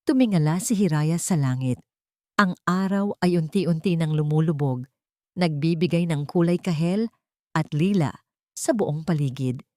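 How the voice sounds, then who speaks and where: A woman reading a scripted dramatic story as narrator, with measured, deliberate phrasing and pauses for effect. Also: low voice, a little mellow, no echo, studio quality.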